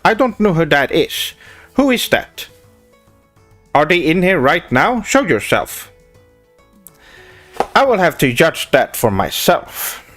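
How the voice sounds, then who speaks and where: Young Swedish man, lively slightly high voice, pompous anime-fan streamer persona, faint music behind. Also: slow pace, long pauses. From Sweden. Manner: pompous weeaboo persona, sprinkles Japanese words; hesitant restarts with many "uh"s. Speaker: streamer answering chat. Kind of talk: casual livestream chat, reading questions aloud.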